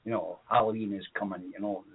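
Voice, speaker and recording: A man's voice: on the low side, steady tone, fast talker, husky. A Scottish man co-hosting a comedy radio show, telling long rambling childhood stories with lots of fillers and chuckles. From Scotland. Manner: rambling anecdotes with many fillers, laughs at own stories. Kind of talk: comedic storytelling on a podcast. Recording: tinny phone-like audio.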